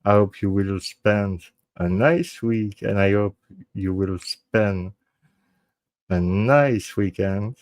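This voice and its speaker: A man from Belgium with a French-speaking Belgian accent, whose first language is French, hosting a live true crime show. He speaks in a halting, earnest way, restarts his phrases often and slips into French. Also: lowish voice, a little mellow, no echo. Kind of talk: casual live-stream sign-off.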